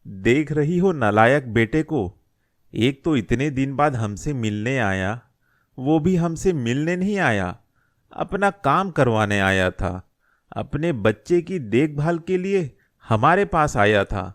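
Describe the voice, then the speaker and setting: Man voicing a slow-talking, aggrieved old grandfather. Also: medium pitch, expressive. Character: elderly grandfather shopkeeper, hurt and grumbling about his son. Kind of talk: Hindi moral-story cartoon dialogue.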